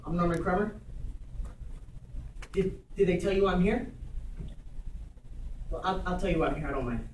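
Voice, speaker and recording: A man plays a nervous, earnest husband in mourning, in a dramatic stage monologue. The voice is fairly high and childlike, halting and doubling back on words. The microphone sits far off in a roomy space. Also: lightly acted. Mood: anxious, wistful. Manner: hesitant, stammering, repeating words. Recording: roomy, distant microphone, faint noise.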